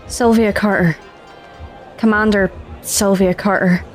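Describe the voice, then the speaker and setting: A woman's voice: medium pitch, fairly even intonation, a little slow, soft background music, close to an everyday voice. An actress playing a bewildered woman space commander who stammers and halts after a crash. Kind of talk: audio drama acting.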